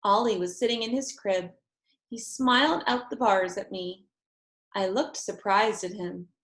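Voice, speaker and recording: A woman narrating a children's chapter book aloud in an expressive read-aloud style. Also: medium pitch, measured pace, echoey room.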